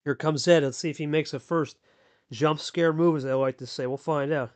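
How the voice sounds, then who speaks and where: A male play-by-play commentator with a slightly higher voice who keeps up a chatty, excited flow, hyping each move and bantering with his partner. He calls the game in a relaxed, casual way. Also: moderate pace. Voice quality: deep radio voice. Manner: chatty, hyped, talks to co-host.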